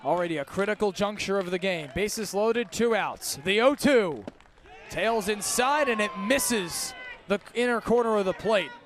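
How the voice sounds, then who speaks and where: A man's voice: sits high, lively intonation, a little slow, fairly bright tone, a faint crowd. A man doing live radio play-by-play commentary of a baseball game as the announcer.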